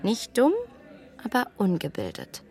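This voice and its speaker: A woman's voice: mid-range voice, huge pitch swings, unhurried, a little mellow. Self-assured young lady in a period radio play, witty, cool n composed, mid-range voice. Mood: disdainful.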